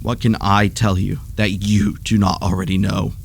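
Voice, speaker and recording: A man playing an anguished, noble superhero in an audio drama, telling his story in a solemn, halting way. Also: on the low side, little pitch movement, creaky. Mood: pained, earnest.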